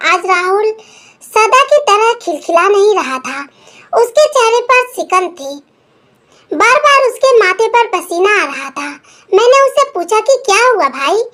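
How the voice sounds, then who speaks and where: A very high, childlike voice narrates a scripted story in the first person. The delivery is lively, with pitch that moves up and down often, and the characters' dialogue is acted out within the narration.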